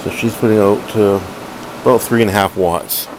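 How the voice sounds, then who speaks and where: A middle-aged man giving a casual, unscripted hobbyist demo at home, halting and rambling as he corrects himself. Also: on the low side. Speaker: hobbyist collector reviewing a radio. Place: room at home, indoors. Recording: noisy room with steady background hum.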